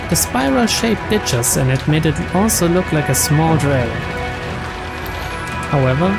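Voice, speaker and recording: A man reading a story aloud as a dramatic scripted narration. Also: on the high side. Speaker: narrator reading a visual novel's translated text aloud.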